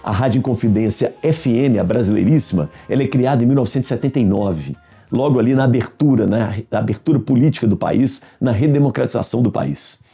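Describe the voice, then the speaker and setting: A Brazilian man talks in an explanatory interview style. His voice is very dark and gravelly, and he repeats himself to drive points home. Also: mid-range voice, quick pace, soft background music.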